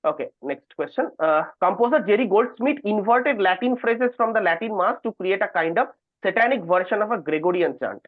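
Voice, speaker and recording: Male quizmaster, fairly high voice, reading questions, thin phone-like sound, dry. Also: lively intonation, a little fast, no echo. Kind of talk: reading quiz questions aloud to contestants. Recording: narrow phone-like call audio.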